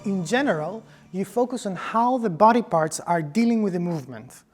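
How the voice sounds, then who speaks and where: A man's voice: high-pitched, expressive, moderate pace, faint music behind. A man with a Greek accent, a choreographer giving scripted tutorial instruction. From Greece.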